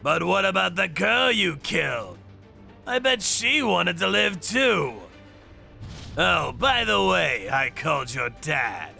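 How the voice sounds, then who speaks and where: A man's voice: high-pitched, sing-song, slow pace, vocal fry, sharp tone, full character voice. A man voicing a ruthless underworld avenger in a manga dub, menacing and theatrical as he narrates. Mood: cold menace. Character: ruthless male underworld avenger narrating his torture jobs. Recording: background music under voice.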